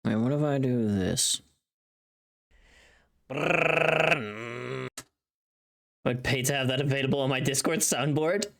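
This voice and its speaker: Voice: mid-range voice, slow, creaky, long pauses, a bit bright. A livestream host chatting casually, rambling and thinking aloud to themselves.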